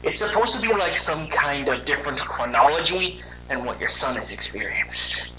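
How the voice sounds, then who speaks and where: A man voicing a weary young robot hedgehog, telling his story in a halting, conversational and dramatic way for a fan audio drama. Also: on the high side, very animated, over a noisy crowd, low-quality recording. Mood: hesitant, candid.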